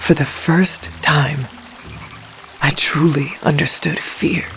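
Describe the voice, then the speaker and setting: Solemn risen hero, thin sound. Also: male voice, a little high, fairly even intonation, slow pace. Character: solemn hero returned from the dead. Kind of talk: video game voice acting. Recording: thin, narrow-band game audio with music.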